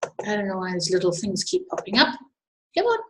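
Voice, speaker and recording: A woman's voice: mid-range voice, very animated, brisk, noisy background. A middle-aged woman with a South African accent, presenting informally as the host of an online webinar. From Zimbabwe and South Africa. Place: indoors at a computer, online webinar. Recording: echoey room on computer microphone.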